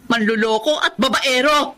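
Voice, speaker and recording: An actress with a Filipino accent playing a bitter, scornful young wronged wife in a melodramatic radio drama. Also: female voice, sits high, moderate pace, fairly bright tone. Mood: furious accusing. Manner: accusing, biting, melodramatic.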